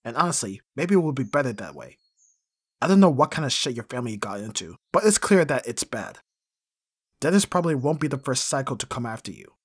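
Man acting a gruff, husky bodyguard, abrasive and guarded. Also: mid-range voice, big pitch swings, a little fast, no room sound, studio quality. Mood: exasperated, harsh. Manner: gruff, sighing, swearing, blunt. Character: abrasive, brutally honest male bodyguard, guarded and protective. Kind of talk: intimate ASMR roleplay acting.

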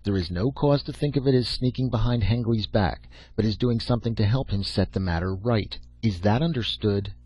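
Guy reading as a blunt old professor, commanding and emphatic, husky, kinda dull lo-fi recording. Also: fairly low pitch, a little fast, few pauses, darkish tone, dry recording. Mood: brisk. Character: old, crusty, commanding male professor and mentor. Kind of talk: audiobook character acting. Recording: dull lo-fi audio.

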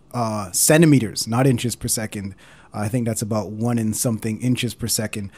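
A man's voice: mid-range voice, mellow. A man narrating a casual explainer as host, in an informal, conversational way. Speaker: host of a video series on analog recorders.

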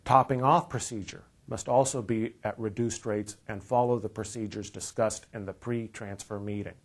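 Low-pitched American male instructional narrator. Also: steady tone, moderate pace. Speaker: training video presenter. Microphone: lapel mic.

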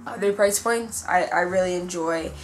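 A woman's voice: fairly low pitch, moderate pace, a little hiss or hum. A woman in her twenties chatting casually to camera as a vlogger, slangy and full of asides, recorded indoors at home. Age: 20s. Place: indoors in a furnished home room.

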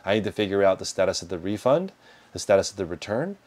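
A man in his thirties with a deep, low voice, talking through viewer questions in a relaxed, practical way. He gestures as he speaks, and a lapel mic picks him up up close in a storage room lined with shelves. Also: fast talker. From United States. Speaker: reselling business host answering viewer questions. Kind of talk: casual livestream Q&A. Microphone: clip-on lapel mic.

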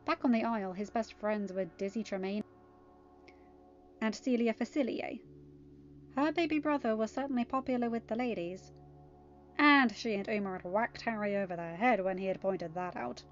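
A woman's voice: mid-range voice, normal speed. A woman narrating a story as an amateur audiobook reader. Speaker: fan fiction reader. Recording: dull lo-fi audio, music behind. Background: music.

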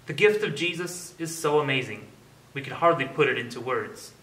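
A man in his thirties leading a family prayer in a calm, reverent voice. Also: medium pitch, moderate pace. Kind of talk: spoken prayer. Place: living room by a Christmas tree, indoors. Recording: roomy, distant camera sound.

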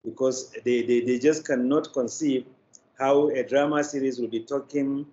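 An older man with a Nigerian accent answers interview questions formally and deliberately. His pitch is ordinary for a man. The sound is a dull video call with faint noise behind it. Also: fairly even intonation, warm tone. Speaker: guest, media company executive. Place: indoor room, video call.

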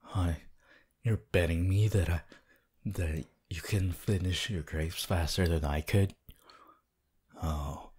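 A man voicing a cold, proud, brooding teenage hero student in an ASMR audio roleplay, husky and breathy, often near a whisper, with terse, deliberate delivery. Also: deep voice, fairly even intonation, darkish tone, full character voice. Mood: guarded, curious. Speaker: roleplay voice actor.

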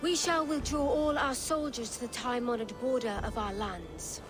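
A woman playing a dignified middle-aged noblewoman envoy in a video game, speaking in a formal, measured, stately way. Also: on the high side, close to an everyday voice. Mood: solemn. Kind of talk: video game dialogue acting. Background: music.

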